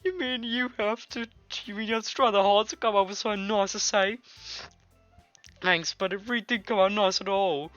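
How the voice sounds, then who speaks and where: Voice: mid-range voice, moderate pace, fairly bright tone, no echo, pitched above the performer's own voice, lightly acted. A gamer voicing a feisty, easily offended anime schoolgirl with a snappy, whiny delivery in a visual novel read-aloud. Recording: dull lo-fi mic, music behind.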